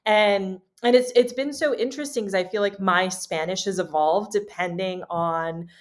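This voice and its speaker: A woman from Miami, a podcast guest, telling stories in a lively, animated way and laughing often. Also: mid-range voice, moderate pace. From Miami, USA. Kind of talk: casual storytelling chat.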